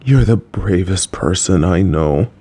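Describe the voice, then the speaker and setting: Slow-talking man playing a gentle dad. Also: low-pitched, husky. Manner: slow, halting, warm dad jokes. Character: gentle, awkward, supportive middle-aged dad. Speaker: voice actor playing a father. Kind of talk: audio roleplay.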